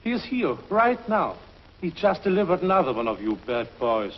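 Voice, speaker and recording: An actor playing a brisk, courteous, authoritative male prison warden in a 1950s TV drama, on a dull lo-fi soundtrack. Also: fairly high pitch, sing-song, slight background noise.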